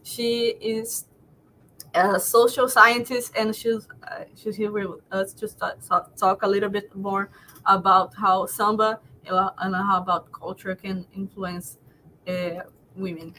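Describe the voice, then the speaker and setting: Woman host, online panel moderator, hesitant with fillers, faint low rumble behind her. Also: little pitch movement, unhurried, sharp tone, poor audio. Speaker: event host.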